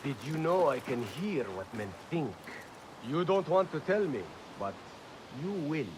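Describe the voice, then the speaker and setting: An actor playing a ruthless, defiant rebel leader in a video game, commanding, intense and menacing. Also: male voice, a little high, sing-song, a little slow, slight background noise. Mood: quiet menace. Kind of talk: video game cutscene acting.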